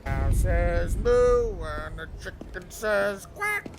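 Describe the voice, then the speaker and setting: A man's voice: expressive, slow, music in the background, full character voice. An actor playing a very drunk man, slurring and rambling in a silly, petulant way. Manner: rambling, sing-song, petulant. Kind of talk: video game cutscene acting. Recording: heavy noise, engine-like rumble behind.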